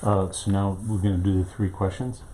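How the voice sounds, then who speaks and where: Local tv station owner guy, deep mellow voice, kinda rambly with lots of ums, asking questions off camera. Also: flat delivery, moderate pace, slight background noise. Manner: hesitant, rambling with many fillers. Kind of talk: casual interviewing. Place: his small studio.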